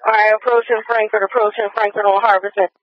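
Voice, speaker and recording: A man's voice: sits high, little pitch movement, fast talker, no room sound. A man working as a police radio dispatcher, relaying a live pursuit in clipped, repetitive procedural phrases over a radio channel. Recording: dull lo-fi police scanner radio.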